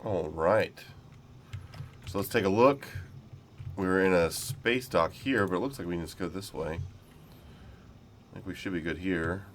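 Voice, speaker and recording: A man hosts casual gameplay commentary and rambles through what he is doing in an easygoing way. He is a little sick, so his very deep voice comes out slightly nasal. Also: a little slow, pauses often, a little hiss or hum. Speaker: gaming channel host.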